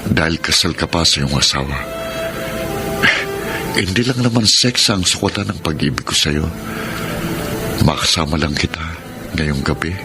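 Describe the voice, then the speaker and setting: An ardent, patient male lover in a Filipino radio drama. His voice sits low, and loud music plays underneath it. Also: expressive, moderate pace, pauses often. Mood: understanding. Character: Benny, ardent, patient suitor of a married woman. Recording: loud music bed behind the voice.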